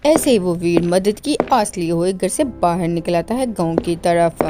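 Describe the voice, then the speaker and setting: Low-pitched woman narrating a children's story. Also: lively intonation, moderate pace. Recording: music behind the voice.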